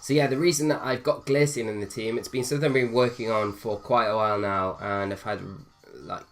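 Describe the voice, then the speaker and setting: A man's voice: fairly low pitch, steady tone, fairly quick. A young man with a slightly nasal voice from a cold, casually commentating on his own gameplay and thinking aloud with fillers. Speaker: gaming video host.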